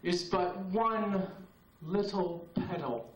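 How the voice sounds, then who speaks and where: High-voiced man reciting poetry slowly. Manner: slow, solemn, incantatory delivery with long pauses. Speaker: poet performing at an open mic. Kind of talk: spoken-word poetry performance. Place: open mic night in a cafe. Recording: roomy, distant VHS tape recording.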